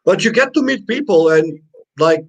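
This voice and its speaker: A man's voice: high-pitched, expressive, normal speed, creaky, low-quality recording. Chatty man reading out live chat. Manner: chatty, reads out comments, frequent fillers. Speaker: live stream co-host reading viewer chat. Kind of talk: casual live stream chat.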